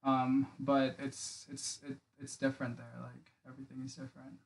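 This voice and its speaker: A man's voice: mid-range voice, monotone, moderate pace, creaky, slight background noise, rough recording. A young man from the Philippines, a podcast guest chatting casually in a small home studio, rambling with lots of filler words. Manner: rambling, many filler likes and restarts. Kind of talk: casual interview chat. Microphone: studio mic on boom arm, wearing headphones.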